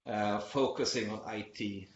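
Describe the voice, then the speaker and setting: A man's voice: fairly low pitch, fairly even intonation, unhurried, a little hiss or hum. A middle-aged man from Sweden giving an online conference presentation, hesitant with many fillers and restarts. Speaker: conference speaker. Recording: muffled lo-fi audio in an echoey room.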